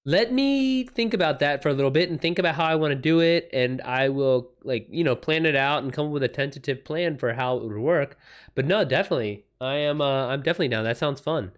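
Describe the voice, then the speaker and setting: A man hosting a livestream, chatting casually with viewers in a halting way as he thinks aloud. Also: a little high, smooth. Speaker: livestream host and writer.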